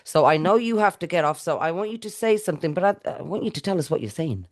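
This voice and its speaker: A woman's voice: fairly low pitch, animated, fast talker. A middle-aged woman from India hosting a podcast interview, talking effusively in a rambling way with frequent restarts. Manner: effusive, rambling, with self-corrections. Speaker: podcast host. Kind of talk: casual interview chat.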